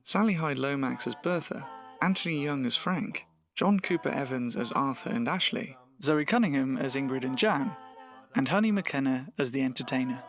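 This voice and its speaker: A man's voice: mid-range voice, expressive, moderate pace, a bit bright. A man reading scripted closing credits as an announcer. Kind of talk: scripted narration. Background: music.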